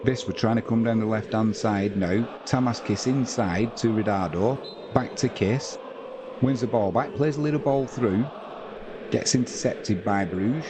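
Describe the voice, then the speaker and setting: Man, from England, low voice, live football watch-along commentary, chatty, noisy background. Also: measured pace. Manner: conversational play-by-play, reading out viewer comments. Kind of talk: live match commentary and chat with viewers.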